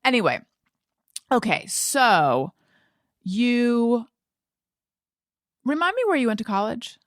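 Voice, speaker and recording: Animated woman hosting a chatty podcast. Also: fairly high pitch, slow pace, no echo, studio quality. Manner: self-deprecating, candid. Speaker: podcast host interviewing a guest. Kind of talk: casual, chatty interview.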